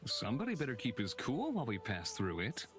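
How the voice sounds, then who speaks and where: A man's voice: medium pitch, wildly swooping pitch, brisk. A man playing a cool, sardonic and guarded character with a wry, needling manner in a visual novel game. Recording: music behind the voice.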